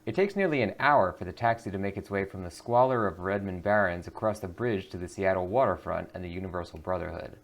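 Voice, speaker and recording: Low-voiced man doing game commentary. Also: fast talker, hardly any pauses. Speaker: gaming video host. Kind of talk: casual game commentary with read-aloud narration.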